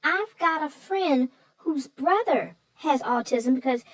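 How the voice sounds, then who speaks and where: Woman voicing a high-pitched, sassy kid sidekick. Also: expressive, slow, no room sound. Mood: curious, thoughtful.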